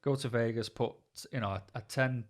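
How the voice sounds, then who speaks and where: A man's voice: medium pitch, steady tone, brisk. A man from Manchester, co-hosting a casual podcast chat and ranting with put-on voices for other people. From England, Manchester. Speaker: podcast co-host.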